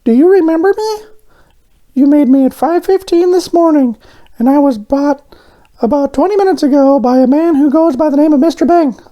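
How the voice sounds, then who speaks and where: A man voicing an excitable, naive little talking doughnut from a children's storybook, with an earnest, exclamatory manner. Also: extremely high pitch, moderate pace, pitched above the performer's own voice. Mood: urgent.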